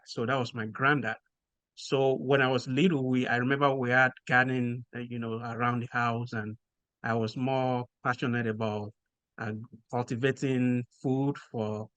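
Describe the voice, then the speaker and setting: A man from Nigeria with a Nigerian accent, explaining things in a measured way with frequent pauses as a podcast guest. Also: mid-range voice, fairly bright tone, clean tone, no echo. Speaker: guest researcher. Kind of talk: podcast interview.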